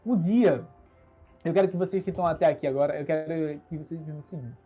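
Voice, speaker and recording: Young Recife entrepreneur hosting his own livestream: self-assured, earnest, mellow husky voice, recorded on a phone. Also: a little high, fast, soft background music. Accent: Recife Brazilian Portuguese. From Recife, Brazil. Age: 20s. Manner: earnest, upbeat, self-assured, gestures a lot. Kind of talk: casual solo livestream talk. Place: bedroom. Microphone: smartphone camera.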